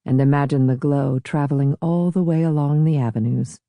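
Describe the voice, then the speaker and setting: A female audiobook narrator reads literary prose in a soft-edged, mellow voice. The sound is dry and close, with no room around it. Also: low-pitched, steady tone, a little fast, no room sound.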